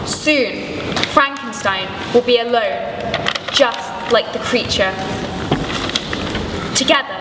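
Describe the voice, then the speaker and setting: Narrator: young woman, high register, unhurried scripted read, heard from a distance in a reverberant space. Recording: echoey church hall, distant mic, noisy.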